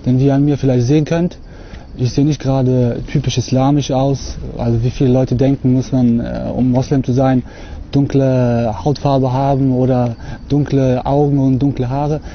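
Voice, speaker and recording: A young man from Russia talking to camera outdoors on a lawn, earnest and hesitant, filling pauses often. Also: mid-range voice, flat delivery, muffled recording, slight background noise. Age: young adult. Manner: hesitant, many fillers, earnest. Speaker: convert giving a testimony. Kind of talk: unscripted talk to camera.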